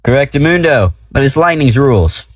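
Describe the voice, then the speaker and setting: A man's voice: mid-range voice, lively intonation, brisk, no room sound, clean studio sound, lightly acted. Man playing a brash, grumbling superhero. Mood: exasperated. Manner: sarcastic, gruff, colloquial. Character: brash, grumbling male superhero moonlighting as bartender. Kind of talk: audio drama acting.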